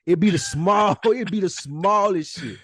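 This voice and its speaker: A man's voice: high voice, unhurried, fairly bright tone, dry recording, clean studio sound. A middle-aged man talking casually on a podcast as co-host, motivational and emphatic, repeating phrases for weight and using profane slang.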